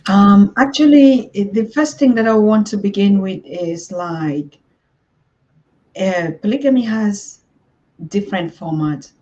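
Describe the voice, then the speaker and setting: A woman's voice: mid-range voice, unhurried, darkish tone, highs cut off. A woman from southern Cameroon, a guest on an online interview, who speaks thoughtfully with many ums and uhs.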